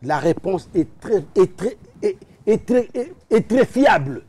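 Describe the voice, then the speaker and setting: A man's voice: sits high, very animated, unhurried, mellow, husky. A man born in Liège, talking as a guest in a casual interview, rambling and hesitant with many fillers. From Liège, Belgium.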